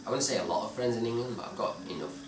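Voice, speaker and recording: A young man from Belgium answering interview questions in a relaxed, hesitant way, often restarting his sentences. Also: mid-range voice, rapid-fire. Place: dim bar with red walls, indoors. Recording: roomy, distant sound with loud music behind.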